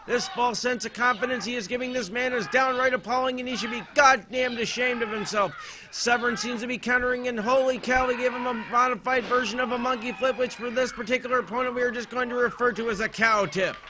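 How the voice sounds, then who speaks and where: High-pitched American wrestling announcer, noisy recording. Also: male voice, a little fast. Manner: deadpan, sardonic, verbose. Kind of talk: comedic sports commentary.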